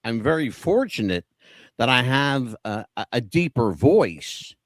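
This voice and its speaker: A man's voice: mid-range voice, sing-song, a little slow, dry recording, studio quality. A man co-hosting a podcast, telling chatty, self-deprecating stories about songs from his past. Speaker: podcast co-host. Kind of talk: casual chat about favorite songs.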